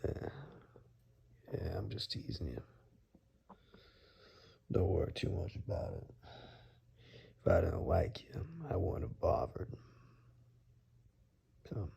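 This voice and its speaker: A man playing a reclusive, kindly but gruff woodsman in an ASMR roleplay, murmuring haltingly and gently to the listener, sometimes in a whisper, with dry teasing. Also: wildly swooping pitch, full character voice. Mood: gentle, dry. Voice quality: very deep, gravelly. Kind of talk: ASMR roleplay, soft intimate monologue to the listener.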